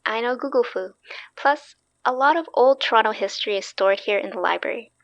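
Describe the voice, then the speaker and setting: A woman's voice: a little high, a little fast, dry recording, highs cut off, lightly acted. A woman playing a keen, wry young researcher in a horror audio drama, explaining things casually. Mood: eager, wry.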